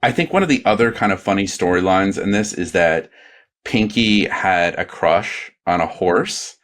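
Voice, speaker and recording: A man's voice: low-pitched, lively intonation, normal speed, a bit bright. A man chatting on a comedy podcast, rambling along with hesitant ums and restarts. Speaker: podcast panelist. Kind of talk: casual comedy podcast chat.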